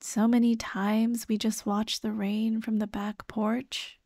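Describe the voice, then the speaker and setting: A high, very dry and close voice of a woman acting as a dead girlfriend's ghost in an ASMR roleplay. She speaks slowly and tenderly, full of grief, gently pleading and comforting the one she left behind. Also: flat delivery, vocal fry, no room sound, full character voice. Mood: wistful, tender. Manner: slow, tender, sighing, pleading.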